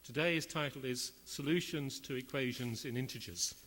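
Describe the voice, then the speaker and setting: Male host, mid pitch, measured formal intro, echoing hall. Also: heavy background noise. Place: lecture hall. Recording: reverberant hall.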